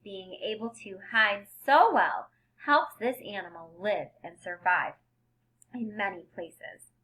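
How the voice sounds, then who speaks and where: A woman's voice: medium pitch, rough recording. A woman reading a picture book aloud to young children like a teacher, stressing key words expressively and explaining things simply. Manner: expressive, emphasized headings with simple explanatory asides.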